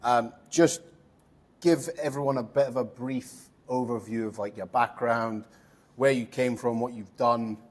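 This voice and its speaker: A man's voice: mid-range voice, steady tone, a little slow. Male interview host; informal, filler-heavy, rambling questions. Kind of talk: casual podcast interview.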